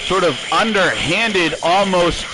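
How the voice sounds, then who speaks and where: A man calling wrestling play-by-play, excitable and raising his voice over the action. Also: high-pitched, takes it slowly, rough recording. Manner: excitable, calls action with shouting bursts. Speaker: play-by-play commentator. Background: music.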